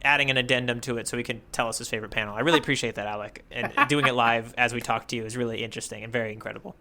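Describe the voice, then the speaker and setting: A man of average pitch with a very bright, crisp-edged tone talks casually as a podcast co-host. He is wry, and he breaks off and restarts his sentences as he thinks aloud. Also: steady tone, racing. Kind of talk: casual chat.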